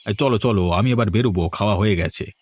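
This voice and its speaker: A man's voice: fairly low pitch, fast, breathy edge. An older man voicing a worried, dismissive village farmer and uncle. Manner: brushing off, fretful.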